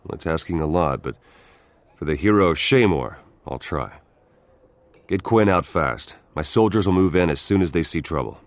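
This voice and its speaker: An actor playing a steady, commanding guard captain in a video game, firm but fair. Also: male voice, low-pitched, normal speed. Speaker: military captain. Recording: music behind the voice.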